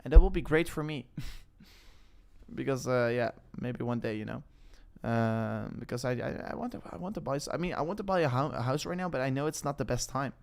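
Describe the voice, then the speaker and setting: A young Dutch-accented man chats casually through a live crypto stream. His voice sits at an everyday middle pitch, and he rambles loosely, trailing off, restarting phrases and filling gaps with "uh" and "I mean." It sounds like relaxed, unscripted talk to a live audience. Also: moderate pace, vocal fry. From Netherlands. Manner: rambling, self-interrupting, hesitant with fillers. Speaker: crypto livestream host.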